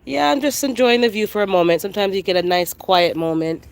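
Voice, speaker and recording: A gardening livestream host with a congested, nasal voice from a head cold, chatting in a relaxed, rambling way with viewers while outdoors in a backyard garden, recorded on a handheld phone. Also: medium pitch, fast, creaky. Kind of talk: casual live chat with viewers.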